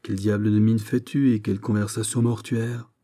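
A man playing a gruff, middle-aged musketeer captain in an audiobook, blustering and mocking, with fatherly sarcasm. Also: lowish voice, level tone, moderate pace, dark tone, close to an everyday voice. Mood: gruff surprise. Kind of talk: dramatised audiobook of a historical novel.

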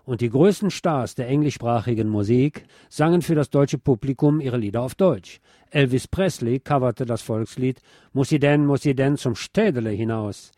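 A man reading a scripted cultural essay aloud in a measured, formal, literate manner. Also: mid-range voice, warm tone, dry recording, clean studio sound. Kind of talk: scripted spoken essay on pop history, read aloud.

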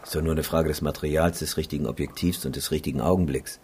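A man's voice: very deep voice, fairly even intonation, quick pace, darkish tone, a little hiss or hum. An actor in a radio drama playing a world-weary, sceptical male war photographer with a dry, cynical manner. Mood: dry, dismissive.

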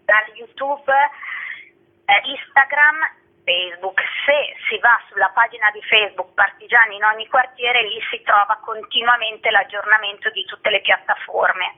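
A woman interviewed on the radio, speaking conversationally and pausing to search for words. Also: medium pitch. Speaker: interviewee, event organizer. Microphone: telephone. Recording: thin phone line on radio.

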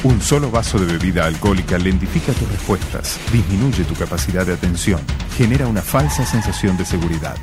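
A man's voice: deep voice, animated, fairly quick, hardly any pauses, over loud music. A man reading a scripted road safety announcement as an announcer. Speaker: public service announcer.

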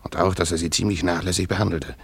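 An actor playing a brooding, lovesick former tutor, narrating a radio drama in a reflective, halting, self-questioning way. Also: male voice, low voice, fairly even intonation, husky, dry recording.

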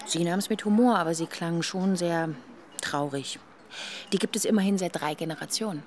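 A woman's voice: on the low side, moderate pace, a little mellow, close to an everyday voice. An actress playing a level-headed, teasing woman in a naturalistic radio drama, speaking in a wry conversational way. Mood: chatty, reflective. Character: pregnant woman, level-headed, teasing her grumbling partner.

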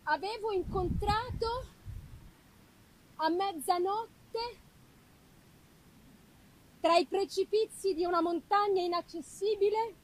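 A woman performing an anguished, haunted young male scientist in a dramatic, halting gothic literary reading, emphasizing and repeating words. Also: extremely high pitch, rough recording. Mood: grave, haunted.

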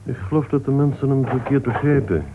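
A man's voice: mid-range voice, a little fast, natural voice. A man playing an evasive club committee member in a radio play, hesitant and defensive in manner. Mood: hesitant, guarded. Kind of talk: Dutch radio play acting. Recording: narrow, noisy old radio recording.

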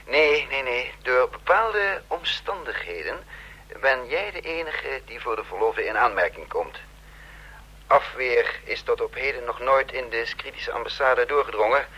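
An actor playing a courteous, self-possessed intelligence chief in a radio drama, formal and measured, with hesitant pauses. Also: male voice, mid-range voice, animated, bright, forceful tone, breathy edge, exaggerated voice, rough recording. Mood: businesslike, polite. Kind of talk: Dutch radio drama acting.

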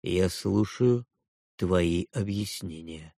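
A man voicing a stern, emotionless wealthy father who speaks in a low, clipped, commanding way. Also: slow pace, vocal fry, mellow, no echo, clean studio sound, exaggerated voice. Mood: cold.

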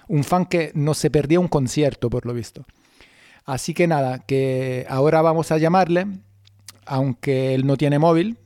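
A man hosting a mock radio interview with a deadpan, rambling delivery. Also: fairly high pitch, no echo, clean studio sound. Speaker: radio host.

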